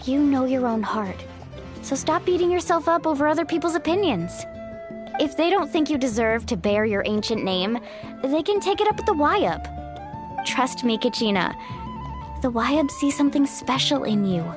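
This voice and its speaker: Young female warrior leader, high voice, confident, encouraging, video game dialogue, background music. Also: expressive, normal speed. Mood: reassuring. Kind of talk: video game character acting. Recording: music behind the voice.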